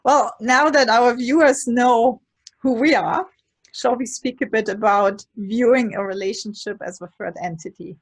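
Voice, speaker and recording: A woman's voice: on the high side, moderate pace, breathy edge, low-quality recording. A woman, co-hosting a conversational talk as a relationship coach. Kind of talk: conversational presentation.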